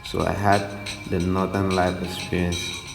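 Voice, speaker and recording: A man's voice: low voice, level tone, a little slow, creaky, music in the background. A young Nigerian man hosting a podcast, telling his own life story in a loose, slangy, reflective way. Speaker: podcast host. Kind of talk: personal storytelling monologue.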